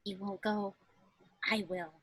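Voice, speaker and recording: A woman's voice: medium pitch, fairly even intonation, slow, slightly rough. A woman playing an eager, defiant young daughter in a script table read over a video call.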